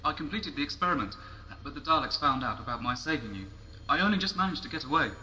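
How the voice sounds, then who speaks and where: A man's voice: a little high, animated, fast, pushed and bright, a little hiss or hum. A man playing a defiant scientist in a science-fiction audio drama, earnest and urgent in manner. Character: defiant, urgent male scientist aiding the rebels. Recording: dull lo-fi sound, music behind.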